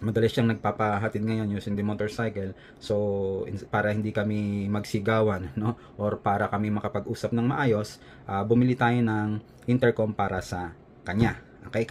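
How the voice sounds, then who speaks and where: A man's voice: lowish voice, a little mellow. Filipino man vlogging over noticeable background noise. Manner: conversational, often adds a tag "no?" to check in. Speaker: motovlogger presenting a product. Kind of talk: casual unboxing and product review, explaining as he goes.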